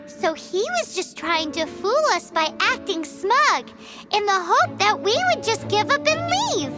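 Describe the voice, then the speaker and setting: A tiny, chirpy fairy companion in a fantasy game. Her squeaky, childlike voice sits extremely high and swings widely in pitch. Loud music fills the background of the cutscene. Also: sing-song, unhurried, heavily acted. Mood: smug. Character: small fairy-like female companion, chirpy, refers to herself by name. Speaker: game character. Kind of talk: video game cutscene dialogue. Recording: loud music under the dialogue.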